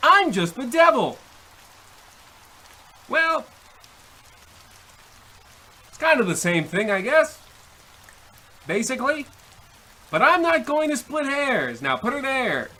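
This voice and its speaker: A man playing a wheedling, impatient devil who pitches deals like a salesman, in theatrical old-time radio comedy style, with a raspy voice. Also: huge pitch swings, takes it slowly, pauses often, pushed and bright, heavily acted. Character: fast-talking devil pitching a soul deal, impatient salesman.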